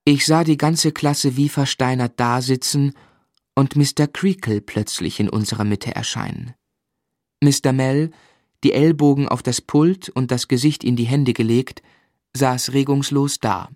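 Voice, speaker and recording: An actor playing a grown man who fondly recalls his schooldays, narrating a literary radio drama in a measured, reflective way. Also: medium pitch, fairly even intonation, a little mellow, no echo, clean studio sound, natural voice.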